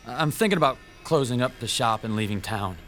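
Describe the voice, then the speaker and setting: An actor playing a timid, bullied comic shop owner, hesitant and fretful, in a radio drama. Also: male voice, mid-range voice, animated, quick pace, fairly bright tone, faint music behind, lightly acted. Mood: weary, confessional.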